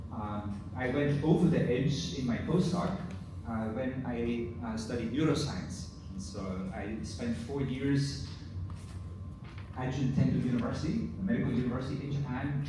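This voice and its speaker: A middle-aged man from Belgium whose first language is Dutch, giving a relaxed conference presentation with many fillers. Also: mid-range voice. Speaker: university professor. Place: large conference hall. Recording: echoey hall from far off, noisy.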